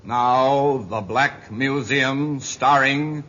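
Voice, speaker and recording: A man's voice: medium pitch, extremely slow, background noise. A man announcing a 1950s radio program in a formal, declamatory style. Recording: muffled old radio broadcast. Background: loud music.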